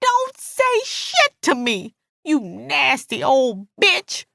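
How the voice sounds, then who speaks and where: A woman's voice: high-pitched, sing-song, pushed and bright, no echo, studio quality, pitched above the performer's own voice, full character voice. A woman voicing an enraged, betrayed, foul-mouthed woman in a dramatic audiobook, taunting and indignant, leaning hard on her words. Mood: furious. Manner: taunting, indignant, drawn-out emphatic delivery. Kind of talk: dramatic audiobook character dialogue.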